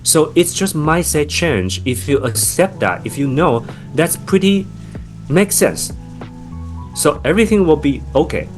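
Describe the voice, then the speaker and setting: A man's voice: on the high side, animated, unhurried, soft background music. Taiwanese-accented man, founder guest. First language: Chinese. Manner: thoughtful, many fillers and restarts. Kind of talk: podcast interview.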